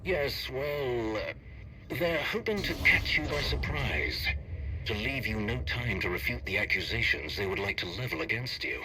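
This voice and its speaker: A man playing a measured, diplomatic Sith official giving grave counsel in a scripted video game. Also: medium pitch, lively intonation, sharp tone, a little hiss or hum, poor audio. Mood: grave, urgent.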